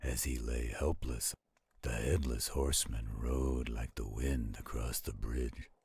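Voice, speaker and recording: A man voicing a protective werewolf, reading a spooky story slowly and suspensefully in a husky, gravelly voice. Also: rumbling low, fairly even intonation, darkish tone. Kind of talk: slow dramatic ghost story narration, roleplay audio. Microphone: close studio-style microphone.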